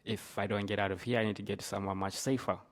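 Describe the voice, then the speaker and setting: A man's voice: fairly low pitch, fairly even intonation, very fast. A man from Zambia with a Zambian accent, telling his own story conversationally as a podcast guest, with casual filler phrases like "you know".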